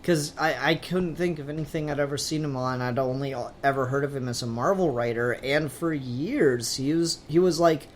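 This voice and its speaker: A man's voice: medium pitch, normal speed, hardly any pauses, a little hiss or hum. A man hosting a podcast in casual, rambling conversation with a joking manner. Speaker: podcast host.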